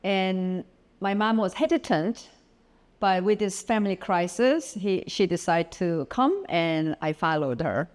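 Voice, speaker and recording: Korean-born woman, middle-aged, talks super slow with lots of ums, lowish voice. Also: animated. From South Korea. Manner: slow, hesitant, many pauses and fillers. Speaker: guest. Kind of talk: casual interview. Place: home studio.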